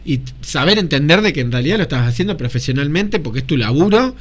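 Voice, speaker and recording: A man's voice: fairly high pitch, lively intonation, quick pace. A man in his thirties with an Argentine accent, hosting a radio interview, chatty and friendly with frequent hesitant restarts. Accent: Argentine Spanish. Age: 30s. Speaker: radio host.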